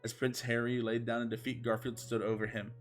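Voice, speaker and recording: A man's voice: medium pitch, flat delivery, brisk, soft background music. A man reading fan fiction aloud as a comic dramatic narrator, mock-serious and emphatic.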